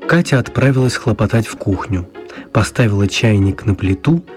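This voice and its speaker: A man narrating a children's radio play as scripted, expressive storytelling. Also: fairly low pitch, moderate pace, loud music behind the voice.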